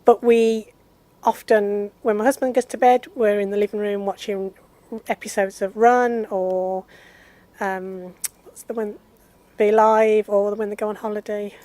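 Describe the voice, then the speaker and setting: A woman's voice: medium pitch, level tone, a little slow, warm tone, no room sound. A woman in her fifties talking eagerly in an interview. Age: 50s. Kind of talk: casual interview answers.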